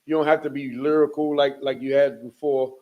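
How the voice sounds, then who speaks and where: A man's voice: medium pitch, little pitch movement, brisk, muffled recording. A man hosting a casual interview, easygoing and encouraging, with slangy talk. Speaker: podcast host.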